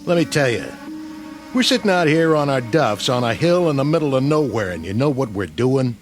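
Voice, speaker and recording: Casting: male actor as gruff frontline sergeant, weary and wisecracking, heavy sarcasm, radio war drama with music underneath. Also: mid-range voice, animated, fairly quick, natural voice. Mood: angry sarcasm. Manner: sarcastic, slangy, gruff soldier talk.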